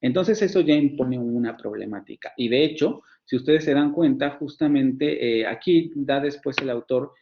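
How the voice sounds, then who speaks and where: A man with a Mexican Spanish accent teaching a university phonetics class online, his voice at a middling pitch. He explains step by step, pausing on fillers, restarting phrases and checking in with rhetorical questions. The sound is dull and lo-fi. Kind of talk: online lecture.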